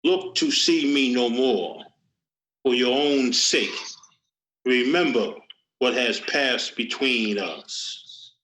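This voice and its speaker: A man playing a mournful, chained ghost who speaks slowly and portentously in a radio play, recorded in a roomy space over a video call. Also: mid-range voice, fairly bright tone. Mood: solemn warning. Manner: slow, portentous. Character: mournful male ghost in chains, solemn and warning.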